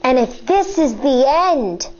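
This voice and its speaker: An American woman in her thirties, a teacher reading a picture book aloud to children in an expressive, deliberate way. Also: sits high, lo-fi sound, slight background noise. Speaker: elementary school teacher.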